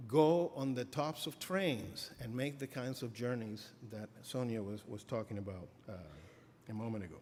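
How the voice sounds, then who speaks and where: A middle-aged man giving an academic lecture as a professor, halting with many fillers and restarts. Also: mid-range voice, a little mellow. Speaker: professor giving a keynote address. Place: lecture hall. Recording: reverberant hall, faint ambience.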